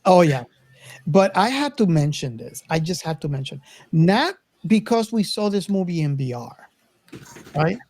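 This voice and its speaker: Casual film-podcast co-host, mid-pitched and hesitant, feeling for the right word, recorded dry. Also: big pitch swings, measured pace, a little mellow, no echo. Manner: hesitant, searching for words.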